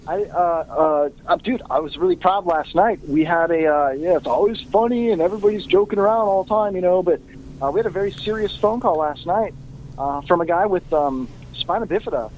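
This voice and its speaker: A man's voice: sits high, brisk, a little mellow, a little hiss or hum, rough recording. A man, a radio talk show host appearing as a guest, chatting casually with lots of fillers and frequent laughter. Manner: laid-back, laughs often, many fillers. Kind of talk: casual podcast banter.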